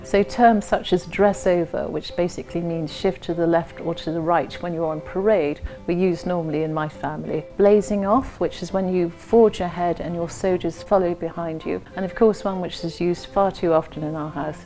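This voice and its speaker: A woman's voice: lowish voice, steady tone, moderate pace, hardly any pauses, warm tone, faint music behind. A woman speaking in a documentary interview, conversational and explanatory, with some fillers.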